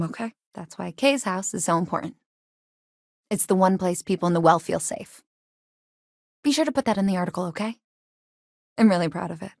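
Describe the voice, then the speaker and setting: An actress voicing a guarded but caring, plain-spoken woman innkeeper from a rough sci-fi district in a video game. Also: on the low side, no echo, studio quality. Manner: plain-spoken, earnest, civic-minded. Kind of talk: game dialogue lines, conversational.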